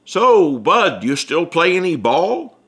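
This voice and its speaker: A man's voice: fairly high pitch, very animated, takes it slowly, fairly bright tone, voice pushed up, exaggerated voice. A man voicing a brash, teasing middle-aged celebrity with a breezy, swaggering manner in an audiobook. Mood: chummy. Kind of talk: audiobook character dialogue.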